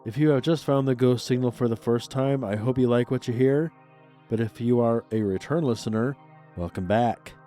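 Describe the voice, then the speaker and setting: Male podcast host, congested and nasal from a cold, mellow voice, theatrical spooky narration. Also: medium pitch, normal speed, faint music behind. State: stuffed up, congested nose. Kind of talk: scripted paranormal podcast narration.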